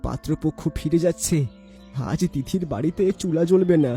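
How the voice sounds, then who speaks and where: A cunning young man voiced by a male actor talks in a slightly high, mellow voice over faint music. He is the bossy schemer of a cartoon, coaxing and teasing with full confidence. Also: normal speed, natural voice. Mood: gleeful. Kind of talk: dramatic cartoon voice acting.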